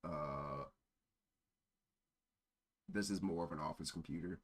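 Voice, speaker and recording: A man's voice: rumbling low, slow pace. A man streaming casual chat in a rambling, easily sidetracked way. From born in Germany. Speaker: live streamer.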